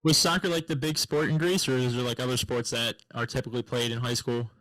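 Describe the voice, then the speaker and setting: An easygoing male podcast host talks at a middle pitch and wanders through his questions, leaning on "like." His voice comes over dry and close on a video call. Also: steady tone, fast talker, a bit bright, no echo, poor audio. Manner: easygoing, filler "like", rambling questions. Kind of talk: casual interview chat.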